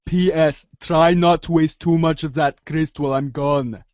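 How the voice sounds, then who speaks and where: Man playing a slow, aloof young know-it-all. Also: on the high side, steady tone, darkish tone, no echo, lightly acted. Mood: clipped, aloof. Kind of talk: amateur reader's theater acting. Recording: dry, dull lo-fi recording.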